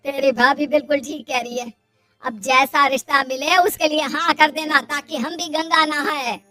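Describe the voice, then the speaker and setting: A woman's voice: sits high, fairly even intonation, moderate pace, bright, forceful tone, faint music behind. A woman playing a nagging, scolding middle-aged mother in a cartoon family drama. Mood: pushy. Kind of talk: Hindi cartoon dialogue.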